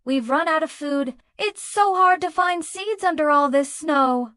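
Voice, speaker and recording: A worried mother field mouse in a children's story, played by a woman. She pleads in a high, squeaky voice. The sound is dry. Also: a little slow, sharp tone, no room sound. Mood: anxious, pleading.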